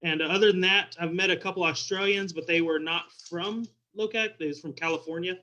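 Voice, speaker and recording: A man's voice: sits high, fairly even intonation, brisk, bright, forceful tone, lo-fi sound. A man with a Texas and Oklahoma Southern accent, chatting playfully and exaggerating as an interview guest. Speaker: visiting king, interview guest. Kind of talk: casual joking chat. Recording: video call.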